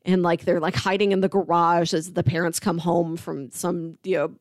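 A woman's voice: fairly low pitch, flat delivery, moderate pace, dry recording, studio quality. A woman co-hosting a casual recap discussion, retelling scenes conversationally and slipping into quoted dialogue. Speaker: podcast co-host.

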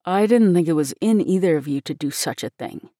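Woman voicing a low, reserved young minister. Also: a little mellow, no room sound, studio quality. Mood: reproachful. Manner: courteous, measured. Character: polite, reserved, gentle young male minister, melancholy in love.

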